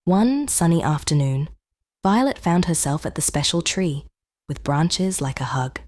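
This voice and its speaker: A woman narrating a children's bedtime story in a gentle, measured, expressive read-aloud style. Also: sits low, dry recording, clean studio sound.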